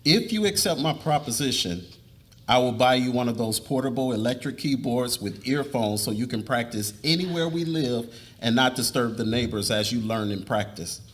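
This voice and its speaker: A man playing an earnest, devout young suitor in a live dramatic reading, speaking in a measured, persuasive way. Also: medium pitch, lively intonation, lightly acted. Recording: noisy live room recording.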